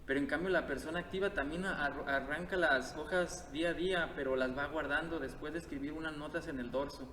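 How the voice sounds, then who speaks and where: A man's voice: fairly high pitch, fairly even intonation, fairly quick, pushed and bright. A man speaking as a guest on a reflective podcast, earnest and hesitant, with frequent fillers. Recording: echoey hall with clear background noise.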